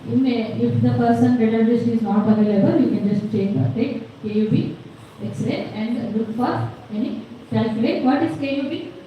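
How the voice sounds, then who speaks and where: A woman's voice: on the high side, normal speed, muffled recording. A woman with a South Indian accent giving a medical lecture as a teacher, asking questions and explaining the answers. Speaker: radiology lecturer. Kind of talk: medical lecture quizzing students. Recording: very echoey room, distant mic, faint noise.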